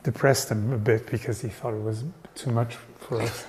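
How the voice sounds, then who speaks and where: A man's voice: medium pitch, level tone, normal speed, darkish tone. An older man casually reminiscing inside a large hall of a house. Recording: echoey hall, long reverb.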